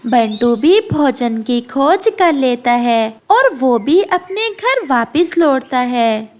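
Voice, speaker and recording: A woman's voice: high voice, sing-song, takes it slowly, darkish tone. A woman narrator telling a cartoon story as scripted narration.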